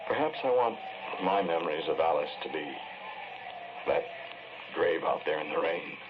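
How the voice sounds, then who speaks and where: An actor playing a sombre, earnest confessed killer in a 1940s radio drama, speaking in a measured, hesitant, brooding way. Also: male voice, rumbling low, lively intonation. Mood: remorseful, resolute. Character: sombre, earnest man confessing to killing the woman he loved. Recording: noisy, muffled 1940s radio recording.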